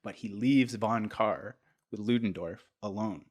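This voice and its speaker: A man hosting a podcast, telling history in a casual, animated way, peppered with "like" and "right?". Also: lowish voice, measured pace. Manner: animated, filler-heavy, keeps checking in with "right?". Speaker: podcast host.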